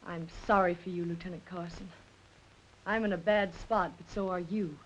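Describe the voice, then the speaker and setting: A woman's voice: on the low side, fairly even intonation, moderate pace, slight background noise, natural voice. An actress playing a composed, wary young woman under questioning in a 1940s crime film, cool and direct. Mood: guarded. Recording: muffled 1940s film soundtrack.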